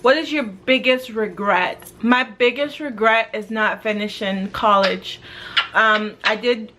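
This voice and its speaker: A woman's voice: fairly high pitch, slow, background noise. A young woman from Jamaica, chatting casually through a Q and A vlog in a laid-back way, full of fillers. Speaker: vlogger.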